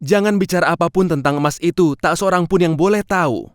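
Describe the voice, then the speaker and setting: A man's voice: on the high side, fast talker, no echo, clean studio sound, close to an everyday voice. A man playing a cautious, urgent poor woodcutter in a children's cartoon fairy tale. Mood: wary, urgent.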